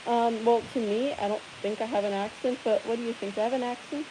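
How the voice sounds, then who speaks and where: A woman's voice: fairly high pitch, steady tone, fast talker. A young woman hosting a casual live Q&A, rambling and giggling as she chats with viewers. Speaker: livestream host answering viewer questions. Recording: hissy background noise.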